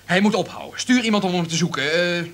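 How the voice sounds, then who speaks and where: An actor playing a flustered, indecisive radio executive in a Dutch radio drama, agitated, hesitant and repeating himself. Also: male voice, high-pitched, expressive, quick pace, a bit bright. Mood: agitated, indignant.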